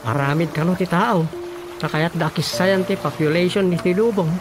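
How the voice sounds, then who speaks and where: A man's voice: sits high, moderate pace, natural voice. An actor playing an opinionated, talkative village councilman in a radio drama, with an Ilocano accent and a conversational, grumbling manner. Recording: music bed under dialogue.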